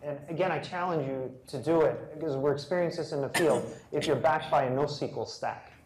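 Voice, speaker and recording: A man's voice: a little high, a little fast. Male co-presenter making emphatic points, recorded in an echoey lecture hall. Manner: emphatic, chiming in. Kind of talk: live tech meetup talk. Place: large lecture room with audience.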